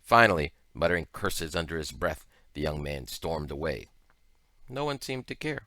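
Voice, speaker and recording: Male audiobook reader, deep voice, crisp forceful tone, deliberate expressive phrasing, dry sound. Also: no echo. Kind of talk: scripted storytelling narration.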